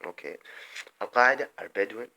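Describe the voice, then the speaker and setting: Animated man ranting in bursts. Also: lowish voice, moderate pace, low-quality recording. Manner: rambling, emphatic, often breaks off and restarts; swings between loud outbursts and muttered asides. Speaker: vlogger. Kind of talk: impassioned political monologue.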